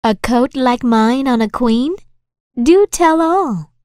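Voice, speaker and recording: Kids' cartoon: eager, polite young village miss, higher-pitched, lilting and unhurried. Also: female voice, lively intonation, darkish tone, smooth, dry recording, clean studio sound. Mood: delighted, curious. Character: genteel, cheerful young village lady, eager and curious. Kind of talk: animated children's story acting.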